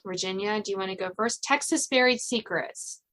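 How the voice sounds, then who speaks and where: A woman's voice: mid-range voice, fairly quick, a bit bright, poor audio. Female podcast host, mid-range voice, conversational interview delivery.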